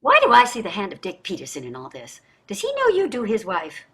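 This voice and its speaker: A woman's voice: mid-range voice, huge pitch swings, fairly quick, fairly bright tone, husky, heavily acted. An actress in a staged play reading, playing a wealthy, entitled society wife and mother with an affected, gushing manner. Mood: indignant, flirtatious. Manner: affected, gushing, effusive endearments.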